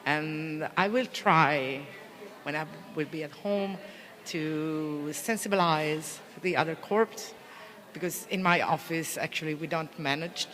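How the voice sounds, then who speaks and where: A woman police officer from Italy with an Italian accent, speaking English haltingly with many restarts as she searches for words. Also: deep voice, fairly bright tone, long reverb tail. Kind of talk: interview answers, conference delegate.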